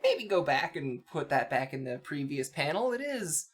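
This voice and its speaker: A podcast co-host talks casually through a comic. The delivery is wry and halting, with small nitpicks, in a mid-range pitch. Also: very animated, slightly rough. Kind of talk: casual chat, comic commentary.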